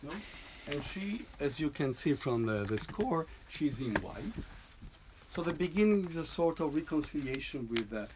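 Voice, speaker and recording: Man explaining his piece haltingly. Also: a little high, animated, noisy background. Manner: halting, many restarts and tag "no?". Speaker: composer presenting a piece. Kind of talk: informal talk to an audience.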